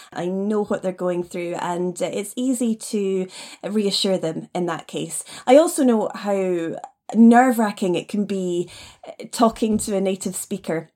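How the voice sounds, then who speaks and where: A woman from the Highlands, an English teacher answering interview questions conversationally. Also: darkish tone. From Scottish Highlands, Scotland. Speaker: podcast guest, English teacher.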